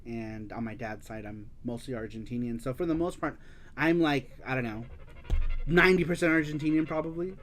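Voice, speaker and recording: A man from the United States hosting a live-streamed show, chatting casually as he reads viewer comments. He is animated, laughs often, swears freely and slips into Spanish. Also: mid-range voice, a little fast, soft background music. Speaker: host of a live-streamed retro gaming show.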